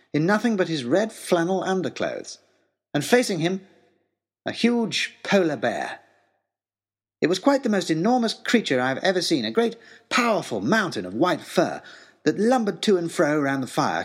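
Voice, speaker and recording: A man narrating a scripted first-person story in an expressive, wry, rather formal storytelling manner. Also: on the high side, slightly rough.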